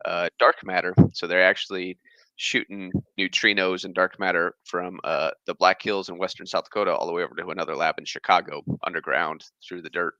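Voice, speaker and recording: Rambling male guest, 30s, very deep but bright tone, dry, slightly dull mic. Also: fairly even intonation, brisk, no room sound. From born in Germany, raised across the US. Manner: rambling, many fillers and restarts. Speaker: guest, fish channel creator. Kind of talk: casual interview chat.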